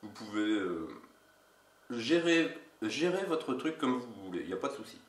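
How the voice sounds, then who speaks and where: A man with a cold, an energy healer giving a casual instructional video in a slow, halting way. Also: medium pitch, big pitch swings, recorded from a distance. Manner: slow, halting, with many pauses. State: has a cold. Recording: roomy echo, away from mic.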